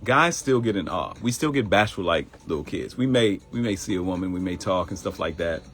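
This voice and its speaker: A middle-aged man with a Baltimore accent talks to his live audience in a low, deep voice. His pitch swings widely as he tells stories and acts out quoted voices. Soft music plays faintly behind him. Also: fairly quick. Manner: animated, acts out quoted voices, addresses viewers directly. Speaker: host of a live morning show. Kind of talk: casual livestream talk.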